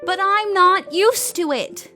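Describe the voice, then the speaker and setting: A woman's voice: very high-pitched, slow pace, pushed and bright, soft background music, exaggerated voice. A woman playing a polite, bewildered little girl in an audio drama, earnest, plaintive and easily flustered.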